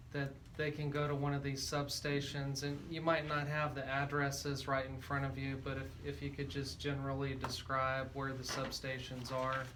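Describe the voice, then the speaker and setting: An American man hosting a radio interview, asking questions in a conversational way. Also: medium pitch. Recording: faint background noise.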